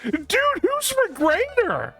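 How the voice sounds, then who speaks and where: Male streamer, high voice, slow rambling casual delivery, slangy and repetitive. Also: wildly swooping pitch, fairly bright tone, faint music behind. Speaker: game streamer. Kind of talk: casual live stream commentary.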